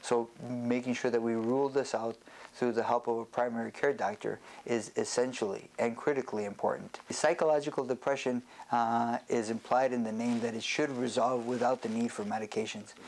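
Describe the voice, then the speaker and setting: A man speaking as a psychiatrist presenting an educational health talk, explaining in a measured way with hesitant pauses. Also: medium pitch, fairly even intonation, a little mellow, slight background noise, low-quality recording.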